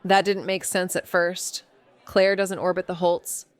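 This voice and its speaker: An actress playing a wry, watchful young amateur sleuth in a noir audio drama, dry and understated. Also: female voice, fairly low pitch, flat delivery, unhurried, clean tone, no echo, lightly acted. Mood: pensive. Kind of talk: noir audio drama dialogue and first-person narration.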